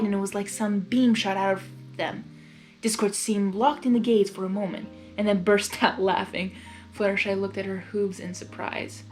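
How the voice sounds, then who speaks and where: A woman narrating a story expressively as a reader, dropping in casual reactions of her own. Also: mid-range voice. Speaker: narrator, fan fiction reader.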